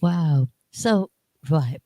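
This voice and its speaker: A woman hosting a radio interview, chatty and given to repeating herself and quick asides. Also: low-pitched, expressive, warm tone, dry recording, studio quality. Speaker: radio host.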